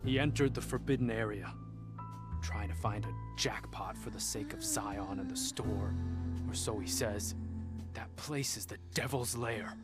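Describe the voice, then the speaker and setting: A man's voice: lowish voice, a little slow, lightly acted. An actor playing a rueful, dryly poetic male scavenger turned singer in a video game, with theatrical storytelling delivery. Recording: music playing behind the voice. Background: loud guitar music.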